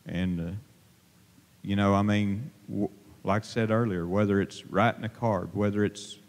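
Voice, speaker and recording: Guy preaching into a handheld mic, lowish voice, church reverb hanging on every word. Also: steady tone. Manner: plain-spoken, halting, many fillers and restarts. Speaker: lay preacher. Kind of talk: informal sermon. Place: church sanctuary, at a pulpit. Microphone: handheld microphone held to mouth. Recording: long reverb of a church hall.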